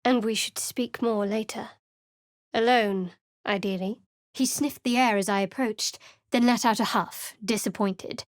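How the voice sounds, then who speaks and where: Actress for a guarded female cleric, wry and cool, slightly high voice, dry studio read. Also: fairly even intonation, measured pace, no room sound, natural voice. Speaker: game character. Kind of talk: video game voice acting. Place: studio booth. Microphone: studio mic.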